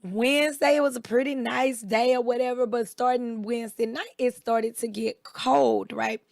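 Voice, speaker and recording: A woman with a raspy, slightly hoarse voice, chatting casually as co-host and acting out the people in her stories. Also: a little high, normal speed, no room sound, clean studio sound. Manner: animated storyteller, quotes people in raised voices. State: recovering voice, a bit hoarse. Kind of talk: casual chat.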